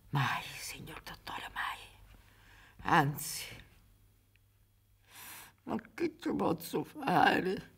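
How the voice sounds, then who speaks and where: An actress in her sixties playing an anguished, bitter old Sicilian peasant mother in a radio drama, with a Sicilian accent and halting, dramatic delivery. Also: low voice, husky, exaggerated voice. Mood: hushed, broken. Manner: dramatic, halting, swinging between hushed whispers and cries. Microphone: studio microphone with headphones on a theatre stage.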